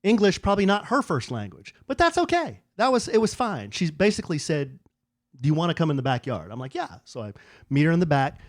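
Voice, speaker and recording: Animated middle-aged man telling a story. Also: on the high side, brisk, no echo, clean studio sound. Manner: animated storyteller, acts out quoted dialogue. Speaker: podcast co-host. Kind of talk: casual storytelling chat.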